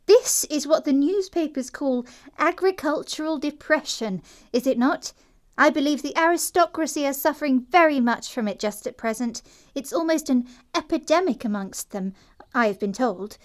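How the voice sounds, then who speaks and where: An actress plays a young English country heiress with a high voice. She is prim and sweet on the surface but sharp-tongued and defiant, pointedly polite in the manner of a comic stage play. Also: animated, moderate pace. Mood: sweetly barbed. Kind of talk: stage comedy acting in an audio play.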